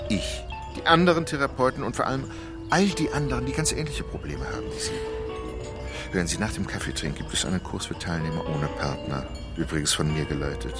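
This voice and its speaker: An actor playing a coaxing, reassuring couples therapist in an audio drama, with a persuasive, soothing way of talking. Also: male voice, low voice, creaky, full character voice. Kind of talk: scripted audio drama dialogue.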